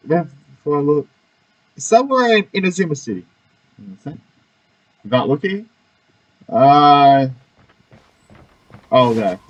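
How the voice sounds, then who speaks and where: A man gives informal gaming commentary, thinking out loud as he plays. His slightly high voice swings around in an animated way over faint game music. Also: rough recording. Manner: informal, muses aloud, reacting to the game. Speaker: gamer, streamer. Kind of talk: casual playthrough commentary.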